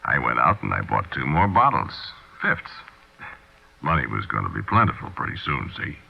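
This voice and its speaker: Very deep-voiced, slangy American crook. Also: male voice, sing-song, gravelly, breathy edge, heavily acted. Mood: brooding, uneasy. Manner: colloquial, confiding, deliberate. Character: hard-boiled, slangy small-time crook telling his story. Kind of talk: first-person narration, 1940s radio drama. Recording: dull 1940s radio broadcast recording.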